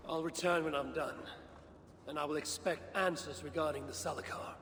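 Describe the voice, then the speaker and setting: An actor playing a bold, determined Norse god with an imperious, deliberate manner in a video game cutscene. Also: male voice, fairly high pitch, steady tone, fairly bright tone, close to an everyday voice. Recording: long reverb, faint background noise.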